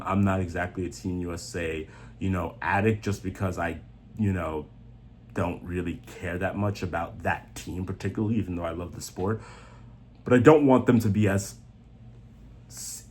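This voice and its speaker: A man's voice: low voice, darkish tone, slight background noise. A man giving opinionated, off-the-cuff soccer commentary in a blunt, emphatic manner, repeating phrases for stress. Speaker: sports commentator.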